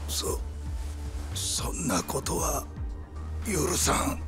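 A gasping, outraged yakuza boss. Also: male voice, a little high, very animated, extremely slow, gravelly, loud music behind the voice, exaggerated voice. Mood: strained, outraged. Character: betrayed, outraged male yakuza boss, struggling to speak. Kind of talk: dramatic Japanese video game cutscene acting.

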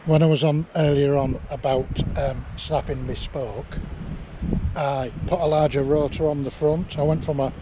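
A man's voice: a little high, fairly even intonation, normal speed, dull audio. Chatty middle-aged man outdoors, windy. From England. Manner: chatty, rambling, chuckles often. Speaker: vlogger. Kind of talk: casual vlog narration while riding. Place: outdoors on windy cliff tops. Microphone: action camera on handlebars and held near face. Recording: wind and outdoor noise.

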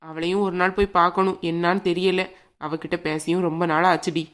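A woman playing a gentle grandmother in a family drama, speaking in a soothing, colloquial, conversational way. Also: lowish voice, flat delivery, brisk, natural voice. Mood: resigned, sympathetic. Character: gentle, peacemaking grandmother, mother of a grown daughter.

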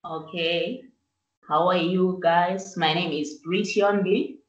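A young woman from Zimbabwe talks slowly and fairly low over a video call. Her voice comes from a distance in a roomy space. The sound is dull and lo-fi. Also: fairly even intonation. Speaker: musician and actress guest. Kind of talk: casual video-call interview. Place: small room indoors. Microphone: video call webcam.

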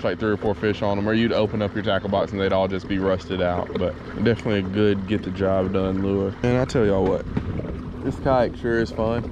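A man chats casually and thinks aloud in an easygoing, rambling way as he vlogs a fishing trip. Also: lowish voice. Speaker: fishing vlogger. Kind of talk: casual chat to camera while fishing. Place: outdoors on a kayak on a lake. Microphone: action camera mounted on the kayak or body. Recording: noisy outdoor action-camera audio, water and clicks.

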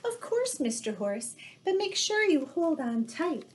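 A woman voicing eager, polite little animal friends in a children's storybook, in an excitable manner. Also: high voice, a little slow, slight background noise, voice pushed up. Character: eager little animal friends, cat, dog, pig, duck.

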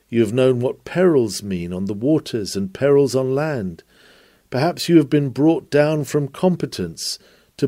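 Mellow-voiced man reading an earnest sermon. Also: medium pitch, no echo. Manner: earnest, exhortatory, rhetorical questioning. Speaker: reader of a historic sermon. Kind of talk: sermon read aloud.

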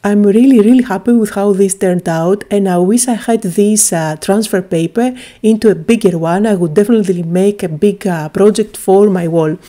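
A woman's voice: medium pitch, moderate pace, few pauses, dark tone. Woman narrating a craft tutorial. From Greece. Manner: chatty and enthusiastic, with frequent "uh" fillers.

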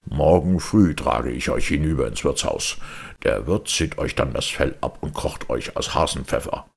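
A man voicing a stern, mocking farmer in a storybook reading, gruff and sardonic. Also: extremely low pitch, animated, moderate pace, exaggerated voice.

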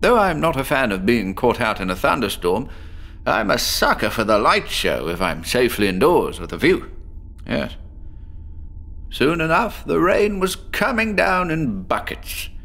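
A gravelly man, a chatty patient in a horror radio play, as a man voices him, rambles cheerfully through his tale. His pitch swings up and down in lively turns, and he keeps slipping in little chuckles. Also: measured pace, exaggerated voice. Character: chatty, apple-obsessed middle-aged male patient. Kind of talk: horror audio drama.

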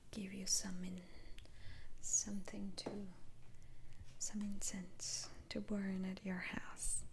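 A woman whispering an ASMR role-play as a kindly, slightly teasing witch doctor, soothing and hushed. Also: medium pitch, gravelly, a little hiss or hum.

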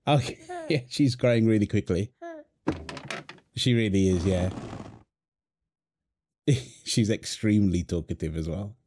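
A man playing a playful, doting young husband and father in a soft, tender ASMR roleplay, teasing as he talks. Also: fairly low pitch, sing-song, a little slow, long pauses, slight background noise. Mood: polite, friendly. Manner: teasing, tender, chuckles often while talking. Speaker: audio roleplay performer. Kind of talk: ASMR boyfriend roleplay, one-sided dialogue.

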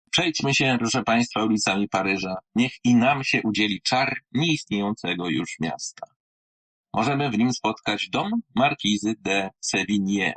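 A man reading and presenting literature in a theatrical, wry manner, with deliberate pauses and a husky voice. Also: lowish voice, fairly bright tone, rough recording.

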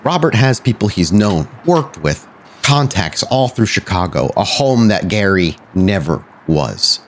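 Low-voiced man, game storyteller. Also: sing-song, a little slow, slight background noise. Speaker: podcast host and game storyteller. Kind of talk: hosting and narrating a tabletop roleplaying game.

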